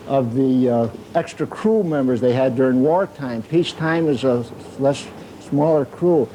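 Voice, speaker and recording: A man's voice: on the high side, dark tone, a little hiss or hum, poor audio. A man in his nineties, a Navy veteran telling rambling wartime stories aboard his old ship, restarting sentences and chuckling as he goes. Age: older. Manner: rambling, self-correcting anecdotes with chuckles. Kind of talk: reminiscing storytelling. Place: aboard an old destroyer, indoors and on deck.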